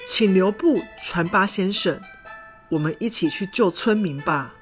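A woman's voice: mid-range voice, measured pace, faint music behind, pitched below the performer's own voice, lightly acted. A woman voicing a brave, kind male rhinoceros beetle samurai in a children's story. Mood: earnest. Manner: earnest, pleading, resolute.

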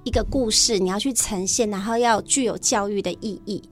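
A woman's voice: mid-range voice, fairly quick, soft background music. A woman teacher with a Taiwanese Mandarin accent, explaining things conversationally as an interview guest.

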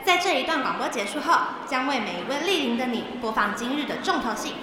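A woman's voice: sits high, lively intonation, fast, crowd noise. A woman playing a welcoming theme park announcer, giving a formal scripted public announcement in an audio drama. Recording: heavy echo, loud music behind.

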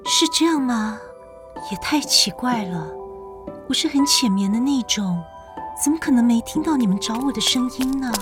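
A woman's voice: a little high, expressive, normal speed, natural voice. A woman playing a well-mannered, puzzled, mysterious young lady in a radio drama. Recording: music under the voice.

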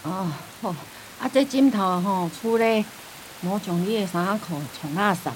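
An actress playing an elderly, forgetful, stubborn grandmother in a radio drama, with a Taiwanese accent and rambling, drawn-out speech. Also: mid-range voice, a little hiss or hum, natural voice, low-quality recording. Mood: weary, homely. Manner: rambling, drawn-out sentence-end particles.

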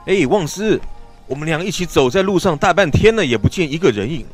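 An actor playing a talkative, weary village traveler in a radio drama, speaking in an emphatic, sighing, storytelling way. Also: male voice, a little high, lively intonation, brisk, faint music behind. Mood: awed, uneasy.

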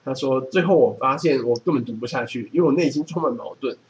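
A man's voice: medium pitch, fast talker. A man with a Taiwanese accent chatting casually as a podcast host. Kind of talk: casual chat. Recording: clearly noisy background.

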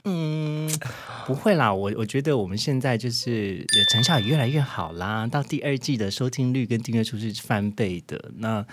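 A man's voice: medium pitch, animated, normal speed, heavy background noise. A young man with a Taiwanese Mandarin accent, chatting playfully as a podcast guest and mixing in bits of English. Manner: playful, mixes in English phrases. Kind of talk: casual, bantering interview chat.

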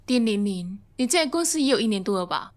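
A woman acting a composed, frank office manager in a radio drama, businesslike and measured. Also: mid-range voice, lively intonation, close to an everyday voice.

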